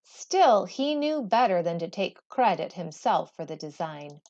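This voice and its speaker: A woman teacher reading a storybook aloud with expressive, deliberate, emphatic phrasing. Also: fairly low pitch, muffled recording.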